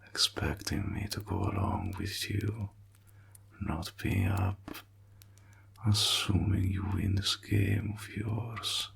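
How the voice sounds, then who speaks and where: A man playing a sly evil warlock in a roleplay audio drama, teasing and deliberate, with a breathy, gravelly low voice. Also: very animated, a bit bright, in a whisper, exaggerated voice. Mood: wary, menacing. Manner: slow, deliberate, drawling, insinuating. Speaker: voice actor. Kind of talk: roleplay audio drama, intimate villain monologue.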